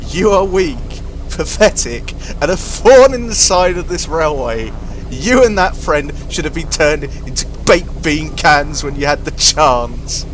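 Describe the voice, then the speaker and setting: A woman's voice: sits low, animated, measured pace, slight background noise, voice pushed down, low-quality recording. A woman voicing a spiteful, boastful little male tender engine with a sneering manner. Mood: gloating.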